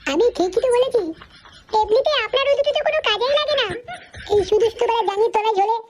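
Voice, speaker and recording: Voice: very high voice, fast talker, a loud crowd. A performer voicing a cheeky, crude young hospital assistant in a comedy cartoon dub. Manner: cheeky, foul-mouthed.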